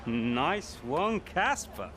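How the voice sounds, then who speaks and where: An actor playing a sly, gossiping rival racing driver who needles and confides, in a naturalistic game cutscene. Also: male voice, fairly high pitch, sing-song, extremely slow, noisy background, exaggerated voice. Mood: needling.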